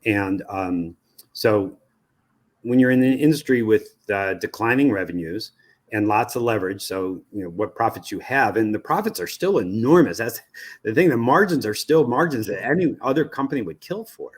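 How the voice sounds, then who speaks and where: Interview guest, American man, lowish pitch, industry analyst explaining step by step. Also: animated, normal speed. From United States. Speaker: media research executive, interview guest.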